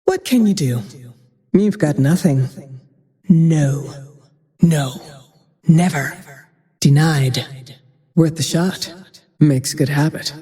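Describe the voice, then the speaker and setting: An actress voicing a grim, vengeful frost archer in a video game, with cold, terse, menacing delivery and a breathy tone that sometimes drops to a whisper. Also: female voice, deep voice, takes it slowly, mellow, heavily acted. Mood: grim disdain. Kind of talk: video game character voice lines. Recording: long cavernous reverb tail.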